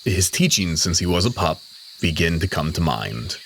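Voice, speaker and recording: A man reading scripted dramatic fiction as narrator, in a solemn and measured manner. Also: deep voice, expressive, slight background noise.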